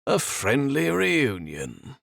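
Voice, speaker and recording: A man voicing a smug male villain who speaks in a slow, lilting way. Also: medium pitch, huge pitch swings, gravelly, fairly bright tone, dry recording, studio quality, pitched above the performer's own voice, exaggerated voice. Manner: lilting, drawn-out.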